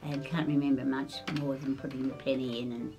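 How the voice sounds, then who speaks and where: Gravelly woman in her eighties. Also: very deep voice, little pitch movement, brisk, over music. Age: 80s. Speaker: interviewee. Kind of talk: reminiscing interview.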